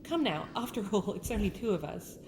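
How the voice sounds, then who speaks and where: A young winter princess, acted by a woman, speaks in a British accent at a medium pitch. Her phrasing is formal and theatrical, that of a proud, sharp-tongued royal with a softer side, in an intimate fantasy ASMR roleplay. Light ambient noise sits behind the voice. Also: animated, fairly quick, lightly acted. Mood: contrite, courteous. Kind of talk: scripted ASMR roleplay addressed to the listener.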